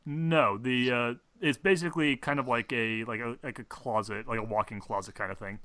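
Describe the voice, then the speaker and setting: A man running a tabletop game as game master, improvising narration in a halting, explanatory way. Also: mid-range voice, fairly even intonation, a crowd in the background.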